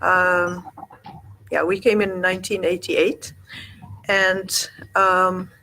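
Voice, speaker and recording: A woman in her sixties from Egypt talks slowly and gently as a talk show guest. Her voice is bright and clear. She pauses often between words. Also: on the low side, level tone, slight background noise, rough recording. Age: 60s. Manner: gentle, halting, with many pauses. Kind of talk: casual chat.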